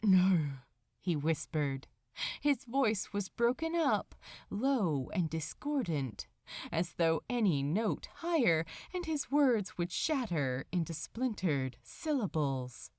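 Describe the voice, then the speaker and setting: A woman reading a story aloud as narrator, in a measured and dramatic storytelling style. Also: mid-range voice, no room sound, studio quality. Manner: expressive, measured. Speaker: fan fiction narrator.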